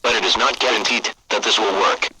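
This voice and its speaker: A man's voice: on the low side, steady tone, a little fast, heavy background noise, natural voice. A man playing a grave, impersonal emergency broadcast announcer, reading a scripted alert in a formal, solemn manner.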